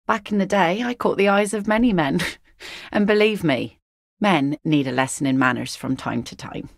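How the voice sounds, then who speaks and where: A woman's voice: fairly low pitch, expressive, moderate pace, vocal fry, no room sound. An actress voicing a blunt, weathered fisherwoman who picks nets, speaking game voice lines in a dry, sardonic way. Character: tough weathered fisherwoman net picker, blunt. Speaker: game character.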